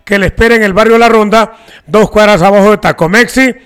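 Honduran male sports co-host, high smooth voice, playful banter. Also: a little fast, fairly bright tone. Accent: Honduran Spanish. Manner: joking, playful, reads sponsor plugs. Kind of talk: casual sports talk banter.

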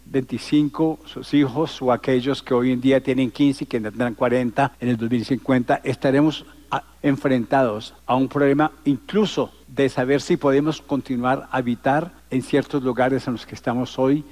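A man speaks as a conference lecturer. His voice sits at a medium pitch. He addresses his listeners rhetorically, stressing points through repeated phrases and lists. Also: fairly even intonation, normal speed, darkish tone. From Colombia. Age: 60s. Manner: emphatic, rhetorical, with repetitions and listing. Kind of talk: public lecture to a live audience.

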